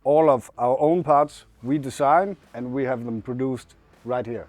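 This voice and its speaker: A man's voice: medium pitch, a little slow, a little mellow. A man in his late thirties from Denmark, speaking English with a Danish accent as a co-owner of a motorcycle shop, adding short, plain remarks. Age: 30s. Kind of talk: casual chat. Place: motorcycle workshop showroom.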